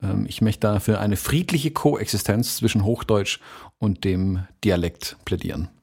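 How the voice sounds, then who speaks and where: A man's voice: fairly low pitch, expressive, a little slow, creaky, darkish tone, husky. A man from Swabia with a light Swabian accent, chatting casually as a podcast co-host, laughing often and poking fun at himself. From Swabia, southern Germany.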